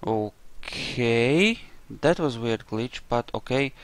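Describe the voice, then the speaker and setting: A man's voice: mid-range voice, expressive, takes it slowly, no echo. A man giving casual, conversational commentary as he plays a video game, with a sweary streak. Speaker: gamer, playthrough host.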